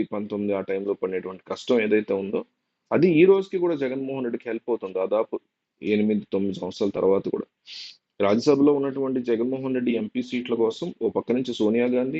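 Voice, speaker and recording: A man's voice: medium pitch, dark tone, no room sound, highs cut off. A man giving informal political news commentary to viewers, explaining and repeating phrases for emphasis.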